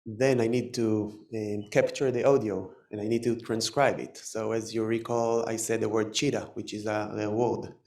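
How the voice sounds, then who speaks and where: A man with an Israeli accent giving a live technical talk, halting and full of fillers and restarts. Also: on the low side, level tone, darkish tone. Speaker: tech presenter. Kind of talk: live conference talk with demo.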